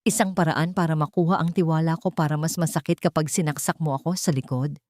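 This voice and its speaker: A wounded, accusing young man in a romantic audio drama, voiced by a woman narrator. The voice is low for a woman and closely recorded, full of betrayal and hurt. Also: steady tone, quick pace, a little mellow, no room sound, studio quality, close to an everyday voice. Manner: pained, accusing.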